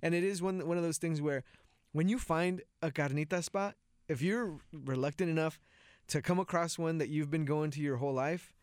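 A man's voice: fairly high pitch, moderate pace, clear, resonant tone, dry recording, studio quality. A man co-hosting a casual food chat, agreeable and conversational, repeating himself for emphasis. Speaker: podcast co-host.

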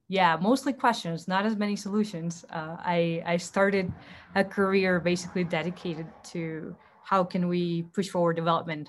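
A woman from Costa Rica whose first language is Spanish speaks in a fairly low voice. She talks as a panelist, giving a personal account in a discussion. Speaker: panelist, startup co-founder.

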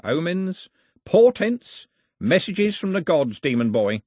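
Man voicing a pious, sly old elder, pitched up. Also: very animated, slow pace, a little mellow, no echo, studio quality, full character voice. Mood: solemn. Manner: declamatory, teasing, grandly superstitious.

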